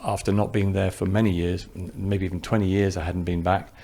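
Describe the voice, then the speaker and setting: English-accented guy, director, low gravelly voice, thinks out loud. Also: level tone, a little fast. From New Zealand. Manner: reflective, thinking aloud with restarts. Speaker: film director. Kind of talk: interview answers.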